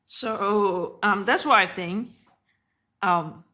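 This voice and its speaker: A woman whose first language is Cantonese streams her trades live in a bright, clear voice. She keeps it casual and unpolished, swearing offhandedly and laughing between thoughts. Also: medium pitch, extremely slow. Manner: chatty and candid, swears offhandedly, often laughs. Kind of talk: casual live trading commentary.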